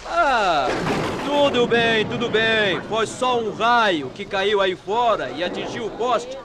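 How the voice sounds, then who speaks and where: A man playing an agitated hospital staffer calming a frightened crowd in a radio drama, insistent and repetitive. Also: high-pitched, slow. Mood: agitated, urgent. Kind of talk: radio mystery drama acting. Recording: noisy AM radio drama recording.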